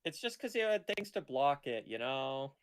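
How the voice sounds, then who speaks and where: A man's voice: medium pitch, very animated, normal speed, smooth. A man chatting casually with other players during an online card game. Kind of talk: casual table chat.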